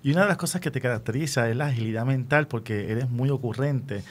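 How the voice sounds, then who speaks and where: A man's voice: mid-range voice, quick pace, a little hiss or hum. A man conducting a conversational radio interview in an encouraging, affirming way.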